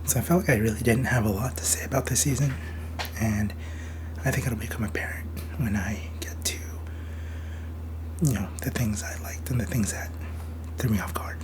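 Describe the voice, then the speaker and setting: A young man with a hoarse, breathy voice from a sore throat, rambling casually through a series review and thinking aloud with many fillers. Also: medium pitch, moderate pace, background noise. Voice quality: breathy, half-whispered.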